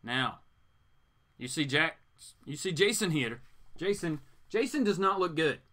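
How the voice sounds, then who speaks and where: Animated bright-voiced man riffing on comics. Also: on the high side, a little slow. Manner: animated, joking, theatrical. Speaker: host reading comics aloud. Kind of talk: informal dramatic comic reading with asides.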